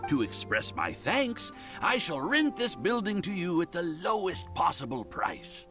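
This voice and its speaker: An actor playing an eager, fawning landlord in a video game who gets flustered and laughs nervously. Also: male voice, high voice, sing-song, measured pace, bright, forceful tone, soft background music, heavily acted. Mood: pleased. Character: eager, fawning male landlord, middle-aged.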